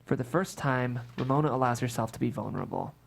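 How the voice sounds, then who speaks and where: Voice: mid-range voice, expressive, quick pace, gravelly. A narrator reading scripted screenplay stage directions aloud at a table read.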